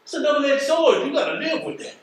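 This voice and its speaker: An actor with an American accent playing a wry, streetwise, philosophical mail carrier in a stage play, folksy and colloquial. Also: male voice, extremely high pitch, big pitch swings, a little fast. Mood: admonishing. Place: theatre stage, recorded from afar. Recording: echoey stage, distant mic, faint noise.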